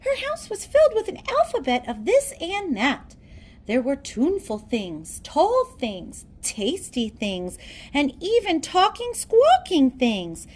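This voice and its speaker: A woman reading a children's storybook aloud in a theatrical, deliberate storytelling manner. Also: sits high, wildly swooping pitch, a little mellow. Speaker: storybook reader. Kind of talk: children's read-aloud narration.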